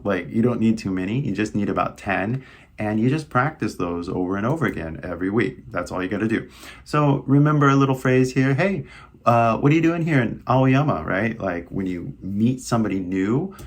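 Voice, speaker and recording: A man's voice: lowish voice, gravelly. A man teaching English in a casual video lesson, coaching with repetitive questions like "right?".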